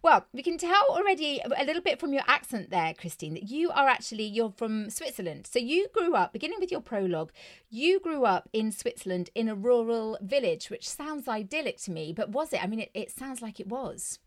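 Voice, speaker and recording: A woman's voice: a little high, sing-song, a little fast. Gushing British woman hosting a podcast. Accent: British English. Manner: warm, effusive, enthusiastic. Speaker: podcast host. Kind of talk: podcast interview.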